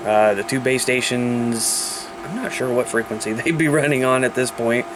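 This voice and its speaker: A man's voice: mid-range voice, normal speed. American man, casual vlog with background hum. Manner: colloquial, informal, thinking aloud. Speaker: hobbyist video host showing radios. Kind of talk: casual unscripted show-and-tell vlog.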